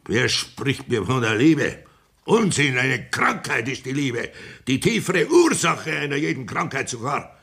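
Pompous lecturing old country doctor, 1960s radio drama, mid-pitched. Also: male voice, lively intonation, a little slow, gravelly, fairly bright tone, husky, full character voice. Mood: declaiming. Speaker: Sanitätsrat. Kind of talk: 1960s radio play acting.

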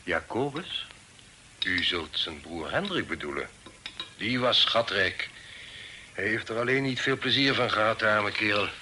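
An actor playing a genial, fatherly older gentleman in a radio drama, speaking formally and courteously. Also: on the low side, animated, unhurried. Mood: reflective. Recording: older radio recording, faint noise.

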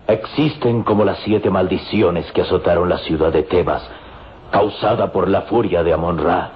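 A man's voice: low voice, normal speed, natural voice. Ominous Egyptian doom-bringer, male actor, grave and declamatory; muffled, noisy old radio drama recording. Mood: ominous sorrow. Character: grave, grieving Egyptian man warning of doom. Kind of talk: old radio serial acting.

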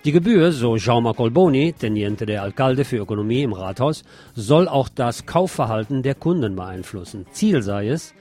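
A man's voice: mid-range voice, lively intonation, normal speed, dark tone. A man presenting the news, reading scripted reports. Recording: music under the voice.

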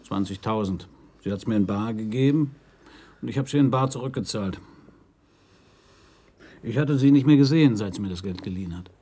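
An actor playing an uneasy, confiding man under police questioning in a German radio crime drama, husky and hesitant. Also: lowish voice, a little fast, pauses often, warm tone. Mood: guarded.

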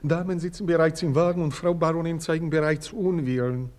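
A man's voice: fairly high pitch, steady tone, a little fast, darkish tone, natural voice. A man playing a stammering, servile old-world servant. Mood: fussy. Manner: overly formal, hesitant, stammering deference. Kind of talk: radio play acting.

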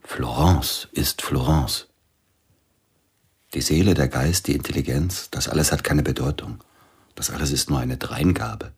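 An actor playing a shaken, grieving middle-aged pianist who speaks in a subdued, halting way. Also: male voice, very deep voice, darkish tone, slightly rough, heavily acted. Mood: shaken grief.

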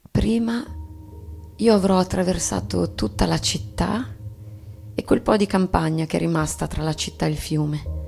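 An actress playing a solemn, tragic wife in a poetic, declamatory radio theatre monologue. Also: female voice, low voice, gravelly, mellow, heavily acted. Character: bourgeois wife, solemn and tragic, resolved on death.

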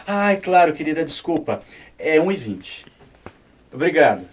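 A man's voice: a little high, sing-song, a little slow, far from the mic. A man with a Brazilian accent playing a friendly, chatty newsstand vendor in a scripted radio drama.

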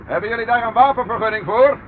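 High-voiced, commanding police inspector. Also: male voice, fast. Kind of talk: old radio drama acting. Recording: dull, noisy old radio recording.

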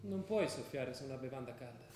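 A man's voice: mid-range voice, animated, brisk, a little mellow, a little hiss or hum, natural voice. An actor playing an earnest, devout European convert to Islam who explains his faith in a didactic, theatrical way. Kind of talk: theatrical radio drama dialogue. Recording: roomy, echoing stage sound.

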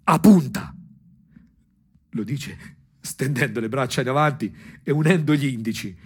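A man delivering an ironic, theatrical radio monologue in short, punchy phrases, with a husky voice. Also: medium pitch, normal speed. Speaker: storyteller, actor. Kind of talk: dramatic first-person radio monologue.

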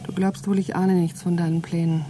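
A woman's voice: fairly low pitch, flat delivery, brisk, background noise, close to an everyday voice. An actress playing an anxious but defiant woman trapped aboard with her partner in a radio play. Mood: worried, pleading. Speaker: radio drama actor. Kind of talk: German radio play acting, spoken logbook monologue.

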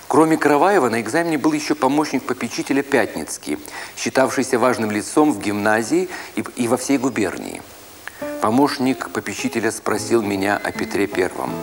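A man reads a first-person literary story as a dramatic narrator, with expressive, deliberate phrasing. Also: lowish voice, a little hiss or hum. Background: music.

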